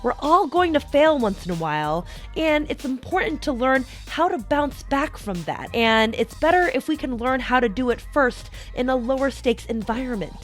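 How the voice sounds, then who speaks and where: High-voiced American woman giving motivational talk. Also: expressive, moderate pace, few pauses, soft background music. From United States, small town in Colorado. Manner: direct address to listener, explanatory. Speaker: podcast host. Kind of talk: motivational talk, prepared monologue.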